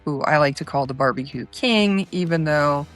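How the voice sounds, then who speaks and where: A woman's voice: sits low, moderate pace. Woman hosting an interview show, music behind. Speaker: host.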